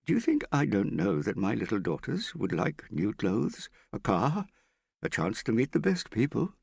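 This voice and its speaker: A male narrator plays a vain middle-aged father with a gravelly, swooping voice. He talks in a pompous, affected way, wheedling and pitying himself like a character who performs every line in a storybook drama. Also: mid-range voice, huge pitch swings, moderate pace, a little mellow, no echo, studio quality, pitched above the performer's own voice, exaggerated voice. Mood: self-pitying. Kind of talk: audiobook character dialogue.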